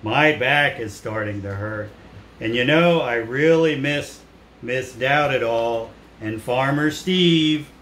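A hapless, distracted puppet guy, played by a man, drags his words out slowly and apologetically, sighing as he goes. It is a children's puppet show in an echoey room. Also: medium pitch, a bit bright, voice pushed down. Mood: relieved, wistful. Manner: drawn-out, sighing, hapless delivery. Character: slow, distracted, apologetic male puppet named Dennis. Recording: roomy echo with some background noise.

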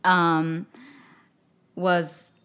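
Japanese accented woman, talks slow, stops to find words, studio mic. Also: lowish voice, level tone, smooth. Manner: halting, searching for words, soft-spoken. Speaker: guest singer. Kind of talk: casual interview chat. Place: studio with record-covered wall. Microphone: studio microphone on boom arm.

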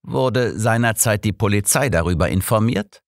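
A man voicing a composed, courteous old detective in an audiobook, speaking in a measured, deliberate way. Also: fairly low pitch, animated, creaky, no echo, studio quality, pitched above the performer's own voice. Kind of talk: audiobook character voice.

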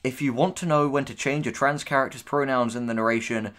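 A man's voice: medium pitch, steady tone, fairly quick, a bit bright. A man co-hosting casual commentary, reading posts aloud and responding with sardonic, dismissive, sweary remarks. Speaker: co-host and writer reacting to writing tips.

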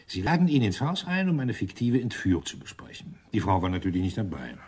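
A man's voice: lowish voice, sing-song, quick pace, darkish tone, husky. A man playing an irritable British police inspector in a German radio crime drama, dry and commanding. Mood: explaining.

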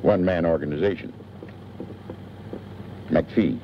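A man's voice: sits low, slow. An actor playing a folksy, talkative American small-town newspaperman at the wheel in a 1940s film. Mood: genial chatting. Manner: folksy, clipped, rambling small talk. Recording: muffled old film soundtrack, engine noise.

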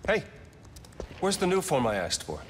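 An actor playing a smug, scheming male corporate villain in a video game, talking in a smooth, condescending, casual way. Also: on the high side, huge pitch swings, a little hiss or hum. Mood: impatient. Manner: casual, taunting, drawn-out pauses. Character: smug scheming male tech CEO, middle-aged. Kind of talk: video game cutscene acting. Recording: game audio with long reverb and faint ambience.

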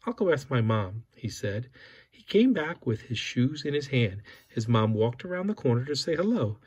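A man's voice: medium pitch, big pitch swings, normal speed, a little mellow, husky. A father reading a children's picture book aloud, bringing the characters' quoted lines to life as he goes. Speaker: father reading to his son.

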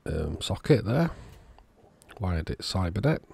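A man's voice: deep voice, big pitch swings, takes it slowly, gravelly, darkish tone, husky. A man giving an informal, matter-of-fact narration of a hands-on repair, thinking aloud as he works. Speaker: electronics repair YouTuber.

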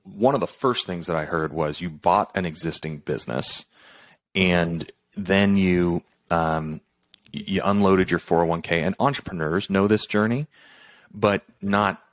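A man's voice: low-pitched, dry recording. A middle-aged man hosting a podcast, upbeat and coaching, acting out listeners' thoughts as he unpacks points. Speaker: podcast host. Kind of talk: conversational interview hosting. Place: room at home, indoors.

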